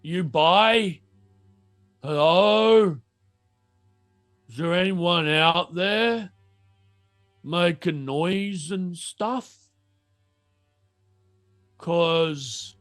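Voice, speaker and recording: A man voicing a dim-witted mutant guard and would-be shopkeeper in a tabletop game, with slow, halting, muddled speech. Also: fairly high pitch, a bit bright, clear, resonant tone, dry recording, clean studio sound. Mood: eager, hawking.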